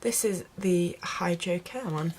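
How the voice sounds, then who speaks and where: A woman's voice: fairly low pitch, takes it slowly, darkish tone, a little hiss or hum. A woman chatting casually as a beauty vlogger, explaining things step by step to her viewers in an informal tutorial style. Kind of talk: casual makeup tutorial chat.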